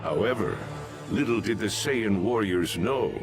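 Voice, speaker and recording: An actor narrating a sci-fi fantasy game saga in a grave, deliberate, dramatic manner. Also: male voice, fairly low pitch, animated, over music.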